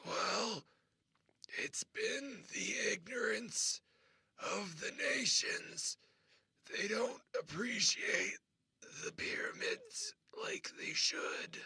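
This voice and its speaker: A man playing an ancient, feeble but proud and sinister old man in a comedy sketch, with a breathy, creaky voice and a halting delivery. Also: sits high, sing-song, sharp tone, no echo, exaggerated voice. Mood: aggrieved, boastful. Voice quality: husky, breathy, whispery, creaky. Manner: halting, drawn-out delivery in short phrases. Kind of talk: comedy sketch interview acting.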